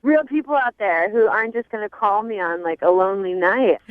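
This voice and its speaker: A woman's voice: mid-range voice, fairly quick, no room sound. A young adult woman chatting casually as a phone-in radio guest, sassy, candid and wry. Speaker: phone-in guest on a dating segment. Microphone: telephone. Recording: thin phone line.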